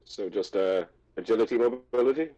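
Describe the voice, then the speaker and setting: A man's voice: lowish voice, brisk, mellow, dull audio. A man playing a tabletop roleplaying game, chatting casually in short remarks.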